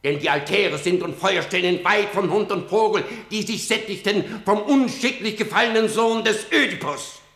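A man's voice: high voice, sharp tone, roomy sound, heavily acted. An actor playing an old blind male seer, speaking in grave, cryptic verse. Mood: warning. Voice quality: gravelly, solemn declamation. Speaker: actor in radio drama. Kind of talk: stage verse drama.

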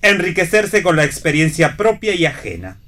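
An actor playing a wry, sententious amateur philosopher and palm reader in radio theatre. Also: male voice, a little high, big pitch swings, moderate pace, pushed and bright. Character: wry male philosophizing would-be psychic, teasing and theatrical.

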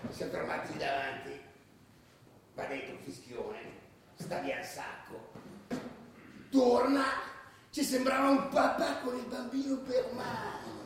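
An actor playing a rowdy, foul-mouthed football hooligan who tells his stories in a coarse, animated way in a radio drama. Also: extremely high pitch, measured pace, vocal fry, long pauses, a little hiss or hum, exaggerated voice. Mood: excited storytelling. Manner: coarse, animated, shouty storytelling. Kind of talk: radio drama monologue, spoken like street anecdote. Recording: roomy, distant echoing radio recording.

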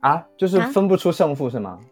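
A man with a very animated, swinging intonation trades playful, teasing banter as a guest on a casual show. Faint music sits behind him. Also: fairly high pitch, quick pace. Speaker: voice actor guest.

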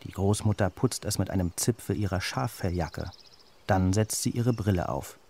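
A man reading a children's story as its narrator, with an expressive storytelling delivery. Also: low-pitched, normal speed, gravelly, slight background noise.

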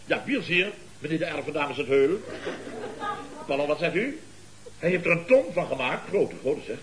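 An actor playing a blustering, boastful contractor boss in a Dutch radio comedy, with a pompous, theatrical, lecturing manner. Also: male voice, fairly high pitch, expressive, normal speed, background noise, close to an everyday voice. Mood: flustered. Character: blustering, boastful contractor boss and uncle, scheming wheeler-dealer.

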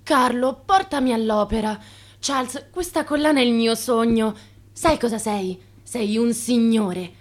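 High-pitched, gushing female flirt. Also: unhurried. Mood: coaxing, gushing. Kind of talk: theatrical radio drama.

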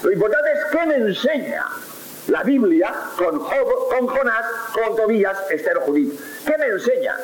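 Animated priest, high lively voice. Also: male voice, moderate pace. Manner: rhetorical questions answered emphatically, animated. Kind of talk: lively catechetical lecture. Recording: hissy roomy old radio recording.

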